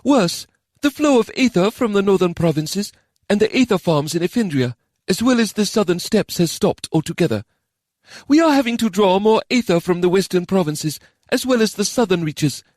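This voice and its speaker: A man's voice: high voice, big pitch swings, normal speed, darkish tone, no room sound, studio quality, pitched above the performer's own voice. A man reading the part of a nervous male elven official giving a formal report. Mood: uneasy. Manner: formal, throat-clearing.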